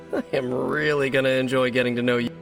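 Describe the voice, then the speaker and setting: A man's voice: medium pitch, level tone, a little fast, vocal fry, big-room sound, soft background music, lightly acted. A man playing a young American superhero in a game cutscene, chatty, jokey and self-deprecating, with nervous earnest rambling. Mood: playful, hesitant. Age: young adult. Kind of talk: video game cutscene acting.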